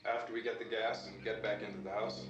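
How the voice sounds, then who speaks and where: An actor playing a commanding, practical survivor in a 1960s horror film, giving firm instructions. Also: male voice, lowish voice, flat delivery, fast, natural voice. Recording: dull echoey old film soundtrack.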